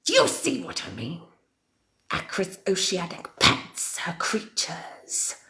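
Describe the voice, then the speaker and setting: A woman acts out an ancient, villainous queen of darkness who addresses her followers in a slow, theatrical murmur. Her pitch swoops widely from phrase to phrase, often sinking into a breathy whisper. The voice carries a roomy echo. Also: wildly swooping pitch, sharp tone, exaggerated voice. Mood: sinister, theatrical. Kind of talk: in-character chat with viewers.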